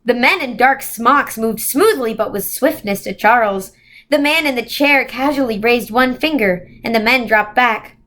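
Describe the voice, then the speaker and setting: A woman reading a children's novel aloud as scripted, expressive storybook narration. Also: a little high, normal speed. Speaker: volunteer storybook reader.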